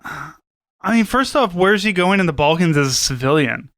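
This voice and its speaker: A man's voice: fairly high pitch, expressive, normal speed, a bit bright, no echo, clean studio sound. A man co-hosting a podcast, giving casual commentary in a rambling, wry, sweary way. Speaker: podcast co-host.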